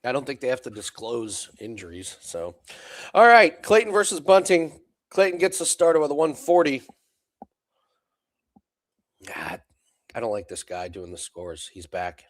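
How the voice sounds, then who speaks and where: A man's voice: mid-range voice, big pitch swings, a little slow, long pauses. An American man co-hosting a casual live darts chat, laughing often and calling the scores play by play. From United States. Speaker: co-host calling darts bets.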